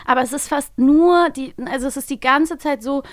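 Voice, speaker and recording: A woman's voice: high voice, normal speed, no room sound, clean studio sound. A woman co-hosting a podcast, chatting casually and animatedly about radio plays. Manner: animatedly quotes and mimics lines. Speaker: podcast co-host; voice actress.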